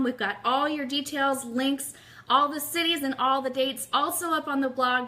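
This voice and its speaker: A woman with a very bright, forward voice sits a little high in pitch. She hosts a live online radio show for music fans in an upbeat, informal way. Her delivery moves between friendly chat with viewers and announcements.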